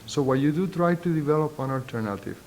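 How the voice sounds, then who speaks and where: A man's voice: lively intonation, fast talker, a little mellow. Man with thick Puerto Rican accent, noisy recording. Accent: Puerto Rican Spanish. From Puerto Rico. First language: Spanish. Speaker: university professor being interviewed. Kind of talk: reflective interview answers. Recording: noisy, low rumble behind.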